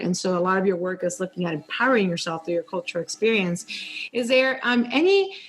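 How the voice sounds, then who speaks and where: A woman hosting an interview, asking long, thoughtful questions with frequent hesitations. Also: mid-range voice. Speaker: podcast host.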